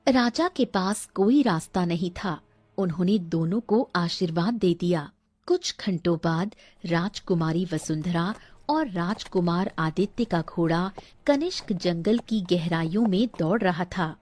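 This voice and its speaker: A woman's voice: on the low side, unhurried, a little mellow, no echo. A woman storyteller giving scripted narration of a fairy tale.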